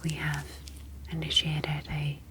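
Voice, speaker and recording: A woman voicing a calm, clinical ocean alien scientist in an ASMR sci-fi roleplay, whispering breathily with formal, measured phrasing. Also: low-pitched, noisy background. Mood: apologetic, explaining.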